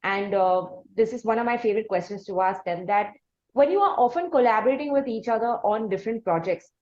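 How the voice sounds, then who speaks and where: A woman with an Indian accent hosting an interview, asking long questions in a halting, conversational way. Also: fairly high pitch, a little mellow. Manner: halting, long-winded questions full of pauses. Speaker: podcast host, interviewer. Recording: dull, lo-fi audio.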